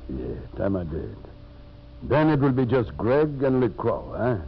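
Casting: menacing French henchman, mid-pitched with big swings, dull vintage radio recording. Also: sing-song, measured pace. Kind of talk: 1950s radio drama acting. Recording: muffled, noisy 1950s radio recording.

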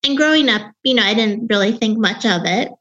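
A woman in her fifties with a Wisconsin accent and a young-sounding voice, telling her own story as an interview guest in a reflective way, with halting restarts. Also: fairly high pitch, lively intonation, a bit bright, dull audio. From Wisconsin, USA. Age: 50s. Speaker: author and grief guest.

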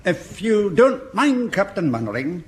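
An actor playing a grumbling, sardonic old Scottish private in a British sitcom, full of doom. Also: male voice, sits high, big pitch swings, measured pace. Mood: grumbling, gloomy. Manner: dour, sardonic, doom-laden. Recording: faint noise, old comedy recording.

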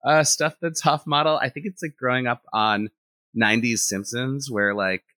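A man, an animator and director, talking in a relaxed interview and thinking out loud with lots of "like" fillers. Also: mid-range voice, normal speed, no room sound, studio quality. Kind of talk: casual interview conversation.

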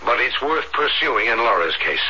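A noisy, muffled old radio drama recording of an earnest psychiatrist. He has a mid-pitched male voice and speaks with probing, deliberate urgency. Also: big pitch swings, bright, forceful tone. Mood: earnest, persuasive.

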